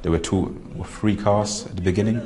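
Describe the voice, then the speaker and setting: A man, recounting events haltingly in an interview and searching for words. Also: on the low side, fairly even intonation, background noise. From Germany. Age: 20s. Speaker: interviewee, former militant. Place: indoor room with barred windows.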